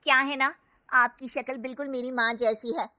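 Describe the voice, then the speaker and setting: A woman voicing an excitable, outspoken young city socialite in an acted story. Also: high-pitched, normal speed, voice pushed up. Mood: admiring. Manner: gushing, outspoken. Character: excitable, outspoken young city women, wife's party friends.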